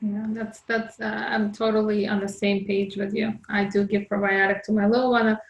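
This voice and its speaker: A woman's voice: medium pitch, level tone, moderate pace, vocal fry, reverberant, muffled recording. A woman from Ukraine hosting an interview, agreeing and asking follow-up questions. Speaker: host.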